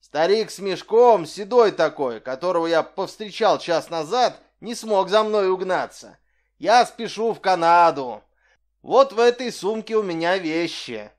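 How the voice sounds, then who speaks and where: A man voicing a small, boastful old tramp who shouts and hurries everyone along. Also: high-pitched, animated, measured pace, pitched above the performer's own voice. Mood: urging. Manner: shouting and bragging, hurrying others on.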